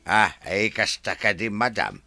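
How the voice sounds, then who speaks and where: A fretful old caretaker. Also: male voice, lowish voice, big pitch swings, unhurried, gravelly, soft background music, full character voice. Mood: pleading. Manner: pleading, bursts into shouting. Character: older male resort caretaker, deferential, fretful.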